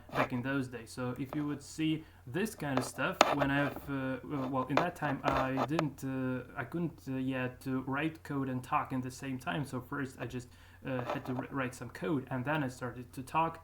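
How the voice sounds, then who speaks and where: A man talks casually to his viewers as a YouTuber. He is halting and often restarts his sentences, and his voice sits mid-range. Clear background hiss runs under the recording. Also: mid-range voice. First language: Russian. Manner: halting, with frequent restarts and filler. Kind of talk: casual vlog chat.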